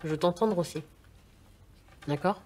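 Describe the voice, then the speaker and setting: An actress playing a patient workshop leader, coaxing a student to join in. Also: female voice, fairly low pitch, unhurried, a little mellow. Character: patient woman leading a writing workshop. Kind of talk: encouraging instruction, film drama.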